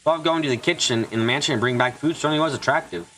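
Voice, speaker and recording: A man reads a translated visual novel script aloud for a fan dub. His voice sits at a medium pitch and moves quickly through the lines. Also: a bit bright, a little hiss or hum, rough recording. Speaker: streamer and fan-dub reader.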